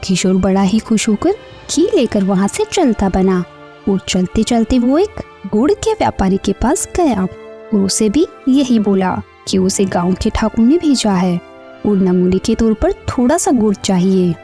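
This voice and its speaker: A woman's voice: medium pitch, very animated, a little slow, dark tone, faint music behind. A woman narrator reading a scripted children's story.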